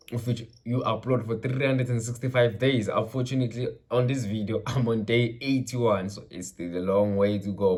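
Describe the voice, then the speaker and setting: A South African man from KwaZulu-Natal, a Zulu speaker, hosting his channel with loose, rambling political commentary that mixes in Zulu phrases. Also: lowish voice. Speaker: channel host. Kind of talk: casual political commentary vlog.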